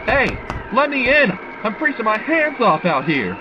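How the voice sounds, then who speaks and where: A man plays a weary, wry businessman in a vintage radio mystery. His delivery is very animated in pitch. The sound is dull and distant, with loud music underneath. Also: measured pace, exaggerated voice. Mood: uneasy. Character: weary, wry travelling businessman, reluctant and uneasy. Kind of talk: old-time radio drama acting.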